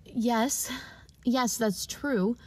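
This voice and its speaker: A woman's voice: fairly high pitch, extremely slow, a little mellow. A woman playing a polite, wide-eyed and hesitant doctor in 1940s radio drama style.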